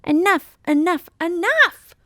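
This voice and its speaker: A woman's voice: moderate pace, vocal fry, no echo, clean studio sound. A woman voicing a grumpy big orange male house cat in a storybook, screeching and hissing. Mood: furious. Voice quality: shrill screechy.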